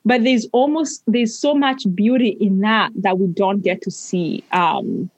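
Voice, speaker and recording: A woman tells stories as a podcast guest in a mid-range voice, recorded dry and close. She sounds warm and reflective, pausing to hunt for the right words. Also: mid-range voice, dry recording. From Kenya. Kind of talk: conversational storytelling.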